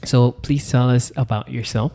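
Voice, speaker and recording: A man's voice: mid-range voice, fairly even intonation, moderate pace, creaky, dark tone. A man hosting a podcast, asking friendly, rambling questions. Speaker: podcast host. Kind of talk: casual interview talk.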